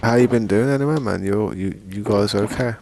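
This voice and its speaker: A man's voice: on the low side, brisk, creaky, darkish tone. A man streaming a game, rambling in a laid-back way and often saying "man", with a lingering cough. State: still has a lingering cough. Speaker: gaming streamer. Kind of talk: casual gameplay chat.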